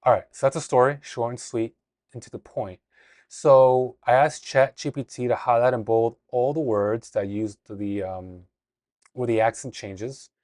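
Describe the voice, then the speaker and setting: A man teaching a casual Spanish lesson in a relaxed, explanatory way, often restarting his sentences. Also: medium pitch, a little slow, no room sound, studio quality.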